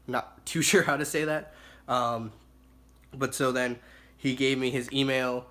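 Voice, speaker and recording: Young male student, raspy and hoarse from illness, casual and halting with lots of fillers. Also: mid-range voice, fairly bright tone. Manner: halting, many fillers and self-corrections. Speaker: student reporting on observation hours. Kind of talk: casual spoken report.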